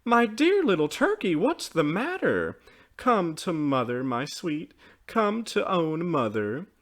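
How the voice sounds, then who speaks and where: A man's voice: on the low side, unhurried, fairly bright tone. A man voicing a loving mother in a storybook, tender and earnest in manner. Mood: alarmed, tender.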